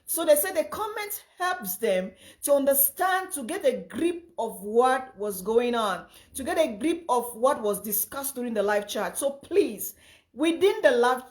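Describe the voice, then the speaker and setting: A young woman from Cameroon with a slightly hoarse voice, hosting a casual livestream and greeting viewers by name, often repeating herself. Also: high voice, expressive, normal speed, darkish tone. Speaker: live chat host.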